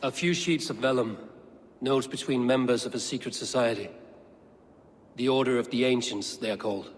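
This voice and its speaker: A man playing a grim, resolute Viking warrior in a video game cutscene, speaking in measured, terse, formal phrases. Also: medium pitch, long reverb tail, natural voice. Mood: grim, determined. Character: grim, resolute male Viking warrior hunting a secret order.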